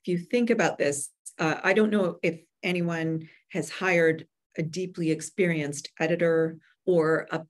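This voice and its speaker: Woman, forties, slightly low pitch, explanatory, measured phrasing, expert webinar guest, video call. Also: steady tone. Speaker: guest expert, hybrid publisher. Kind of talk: webinar interview answers. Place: room with bookshelves at home or office, indoors. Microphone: computer video call.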